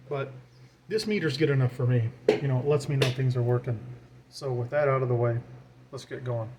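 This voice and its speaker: A man's voice: medium pitch, a little mellow. A man giving an informal hands-on tutorial as a hobbyist, thinking aloud while he works. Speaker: radio hobbyist demonstrating his equipment. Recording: clear background noise.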